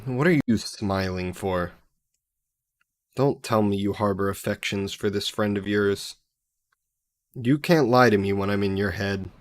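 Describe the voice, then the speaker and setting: A man's voice: lowish voice, unhurried, long pauses, lightly acted. A man voicing a centuries-old male demon bound in a necklace, sly and possessive, speaking in a mocking, theatrical way in an audio roleplay to the listener.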